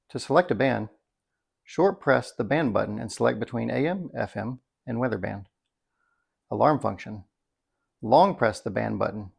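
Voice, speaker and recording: A man with a medium-pitched voice that has plenty of gravelly fry talks through a product demonstration. He is casual and unscripted, thinking out loud. His manner is folksy and dryly wry. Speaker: product reviewer.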